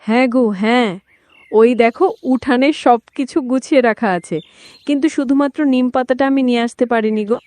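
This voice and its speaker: A woman's voice: high-pitched, steady tone, brisk, a little mellow, dry recording, natural voice. A woman voicing a practical village farmer's wife in a homely cartoon style. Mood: chiding, practical. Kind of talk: Bengali cartoon voice acting.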